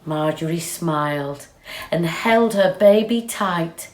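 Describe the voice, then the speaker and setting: A woman reading a children's storybook aloud with expressive, deliberate delivery. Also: on the low side. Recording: roomy, echoey sound.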